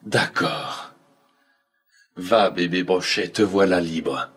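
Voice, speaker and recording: A man's voice: deep voice, slow pace. A man playing an earnest, merciful and bold young prince in a fairy-tale cartoon, with declamatory delivery.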